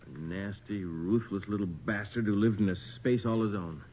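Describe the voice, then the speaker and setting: An actor playing an inquisitive, wry and uneasy investigator in a radio horror drama, speaking in an expressive, questioning way. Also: male voice, on the low side, moderate pace, close to an everyday voice. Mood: wry, indignant. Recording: dull, noisy old radio recording.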